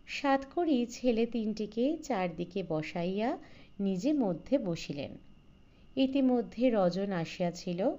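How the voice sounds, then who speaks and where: Slow-paced woman narrator, bright voice. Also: mid-range voice, lively intonation, dull audio. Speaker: audiobook narrator. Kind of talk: scripted literary story narration.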